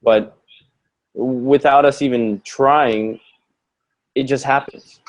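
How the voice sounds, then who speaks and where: A man's voice: medium pitch, steady tone, slow, poor audio. A man from Canada whose first language is German, a podcast guest musing aloud and repeating words as he gathers his thoughts. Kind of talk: conversational podcast interview.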